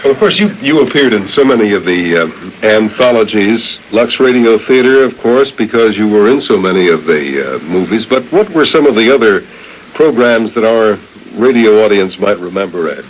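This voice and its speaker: A man interviewing on the radio in a measured, deliberate, conversational way, on an old tape. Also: on the low side, lively intonation, slight background noise, poor audio.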